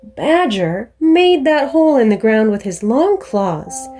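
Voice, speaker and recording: A drowsy, dignified great horned owl speaks in slow hoots from a children's storybook, as a woman reads her part. The voice rises and falls a lot. Quiet music plays underneath. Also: on the high side, sing-song. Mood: curious.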